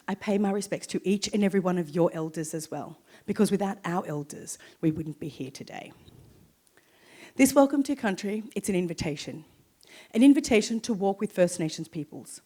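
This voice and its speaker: Australian woman giving a ceremonial welcome. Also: fairly low pitch. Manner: warm, inviting, encourages the crowd to join in. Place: stage in a hall.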